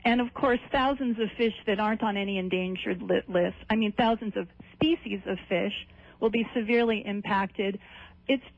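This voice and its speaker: A woman's voice: mid-range voice, normal speed, no room sound. A woman journalist speaking as a guest on a radio interview, earnest and listing things off one after another. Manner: earnest, reels off long lists. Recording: dull, dry radio audio.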